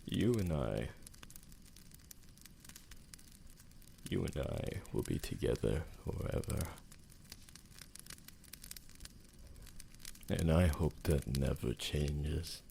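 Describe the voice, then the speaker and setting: Casting: man to play romantic, devoted vampire for ASMR roleplay. Rumbling creaky bass, slow formal delivery, possessive tenderness, slight noise. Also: lively intonation, mellow, heavily acted. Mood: adoring.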